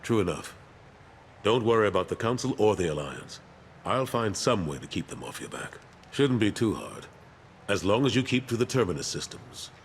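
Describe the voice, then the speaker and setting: Principled older officer-politician, lowish voice, lively intonation, firm, plain-spoken, game dialogue. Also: male voice, normal speed, slight background noise. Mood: calm, frank. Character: gruff, principled older military man turned politician. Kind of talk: video game dialogue acting.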